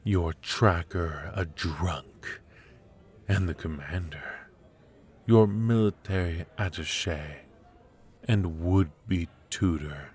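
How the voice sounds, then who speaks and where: A man playing a silky, mocking dark warlord in an intimate ASMR roleplay, with theatrical, taunting, drawn-out delivery. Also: deep voice. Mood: mocking, scornful. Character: dark male warlord villain, silky, mocking, menacing dream invader. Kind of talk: ASMR roleplay, intimate villain monologue addressed to the listener.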